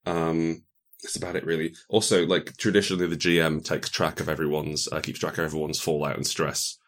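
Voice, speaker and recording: A man's voice: a bass voice, flat delivery, a little fast. A man speaking as a guest game designer in a casual interview, explaining things in a rambling, enthusiastic way.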